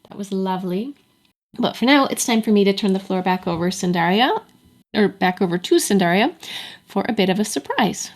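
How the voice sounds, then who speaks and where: A woman's voice: medium pitch, animated, brisk. A woman speaking casually as the co-host of a live event.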